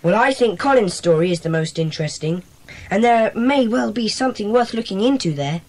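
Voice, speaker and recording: A woman's voice: mid-range voice, lively intonation, fairly quick, darkish tone, rough recording. A woman playing a confident, decisive schoolboy leader with a well-spoken British accent in a children's audio drama.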